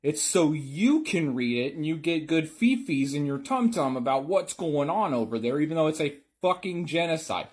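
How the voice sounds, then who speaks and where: A man with a slightly raised pitch rants bluntly, mixing slang and swearing into his talk. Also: lively intonation, normal speed, few pauses. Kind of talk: social media rant.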